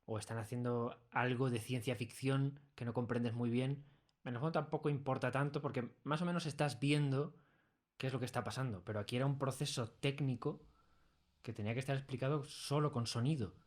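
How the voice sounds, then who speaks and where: Mid-pitched man from explaining casually. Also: fairly quick. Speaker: audio drama creator being interviewed. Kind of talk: casual conversational interview. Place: recording studio.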